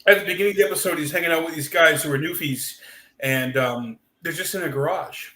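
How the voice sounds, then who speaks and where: A man's voice: a little high, fast, sharp tone, reverberant. A man co-hosting a casual podcast, rambling along with lots of fillers and restarts as he tells a story. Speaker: podcast co-host. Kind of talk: casual chat reading super chats.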